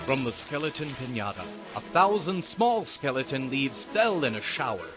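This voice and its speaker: A man's voice: mid-range voice, very animated, moderate pace. A man narrating a dramatized audiobook as a scripted, theatrical story. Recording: music behind the narration.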